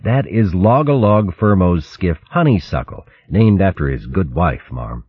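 Storybook, earnest warrior mouse, man reading, low husky voice, dry muffled recording. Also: expressive, unhurried, heavily acted. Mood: respectful. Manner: earnest, respectful. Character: steadfast warrior mouse, respectful.

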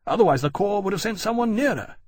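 A man voicing an anxious, polite gentleman in an audiobook, arguing cautiously in careful formal phrases. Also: high voice, expressive, quick pace, husky, dry recording, voice pushed up. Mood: anxious reasoning.

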